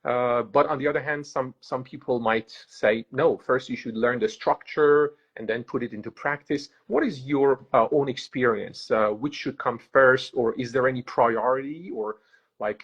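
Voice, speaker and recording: A man's voice: medium pitch, normal speed, slightly rough. A man hosting an interview, asking long questions with many filler sounds and restarts, in a dull lo-fi recording. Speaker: host.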